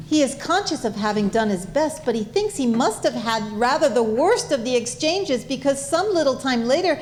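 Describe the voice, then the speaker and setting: A woman's voice: high-pitched, expressive, normal speed, darkish tone. A woman narrating a comic stage play as scripted storytelling.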